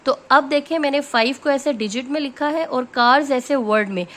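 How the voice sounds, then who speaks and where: A woman's voice: high-pitched, moderate pace, fairly bright tone. A woman teaching a test-prep lesson. She explains each point step by step, mixes in English phrases and keeps checking in with her listeners. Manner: switches between Hindi-Urdu and English phrases.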